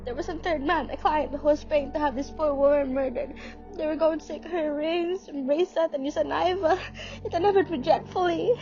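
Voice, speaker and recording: Very high-pitched, frantic, imperious woman, acted out. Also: fairly even intonation, moderate pace. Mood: insistent, fretful. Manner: agitated, indignant, insistent, fretful. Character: anxious, demanding, imperious bedridden married woman. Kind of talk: radio play acting. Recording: muffled recording under loud dramatic music.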